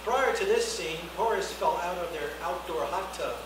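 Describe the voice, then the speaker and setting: A man's voice: sits high, normal speed. A man reading scripted stage directions as the narrator at a live screenplay reading. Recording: echoey noisy hall, distant mic.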